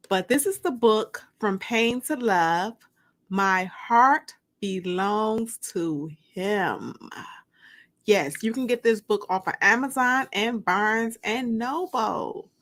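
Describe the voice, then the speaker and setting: A woman hosting a livestream as an author, chatting and reading dramatically from a book with drawn-out, emphatic delivery. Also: medium pitch, a bit bright. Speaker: host and author.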